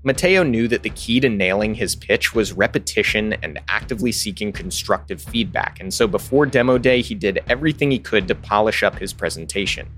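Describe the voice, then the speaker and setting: A man reading scripted narration for a business podcast in a polished, even delivery. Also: fairly low pitch, brisk, hardly any pauses, faint music behind.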